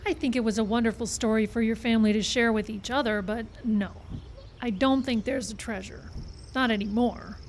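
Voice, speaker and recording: A woman plays a level-headed skeptic on a film crew in a radio drama. Her high voice is dry and reasoning, over faint noise in a live recording. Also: steady tone, brisk, lightly acted. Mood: skeptical, patient. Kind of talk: live radio play acting. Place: live radio show in a park.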